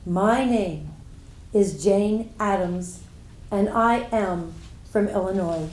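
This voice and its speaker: A woman playing a proud, earnest female social reformer, delivering a scripted first-person monologue in deliberate, declamatory phrases. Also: medium pitch, noisy background, close to an everyday voice. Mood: proud, solemn. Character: Jane Addams, earnest, proud woman social reformer recounting her life. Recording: echoey room, live stage reading.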